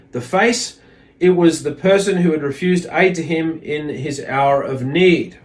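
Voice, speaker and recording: A man giving an informal religious lesson, reading aloud and explaining in a hesitant, reflective manner. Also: on the high side. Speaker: religious teacher.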